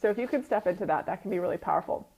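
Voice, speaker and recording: A woman's voice: medium pitch, animated, racing, a little mellow, husky. A woman with a slightly sniffly, runny-nosed sound gives an informal coaching talk, explaining things conversationally and often checking in with short tag questions. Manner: conversational teacher, often checking in with "right?" and "okay?". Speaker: retreat business coach and group host. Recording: dull lo-fi audio.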